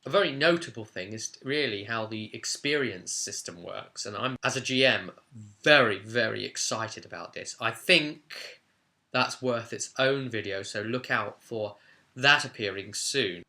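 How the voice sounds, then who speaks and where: A man's voice: on the low side, unhurried, pushed and bright. A man giving an informal tutorial as a game master, conversational and stressing key words.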